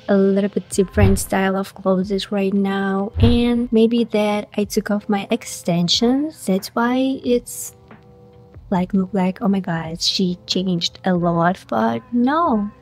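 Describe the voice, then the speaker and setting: A young woman from Kazakhstan, a Russian speaker, chatting in English through a casual question-and-answer vlog with a clip-on mic in a high-rise room. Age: young adult. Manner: chatty, animated, talks with her hands. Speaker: influencer. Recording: faint music underneath.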